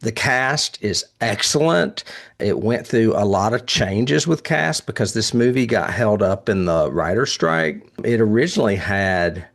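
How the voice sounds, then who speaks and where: A man's voice: on the low side, animated, a little slow. A man hosting a casual film review chat, speaking with enthusiasm and often chuckling. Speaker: podcast host reviewing horror films.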